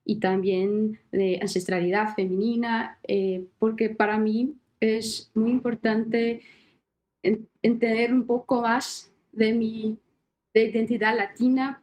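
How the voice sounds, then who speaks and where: A Brazilian woman, a singer-songwriter whose first language is Portuguese, speaking careful, halting Spanish with a Brazilian accent as a podcast guest. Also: fairly high pitch, darkish tone. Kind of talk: casual interview talk.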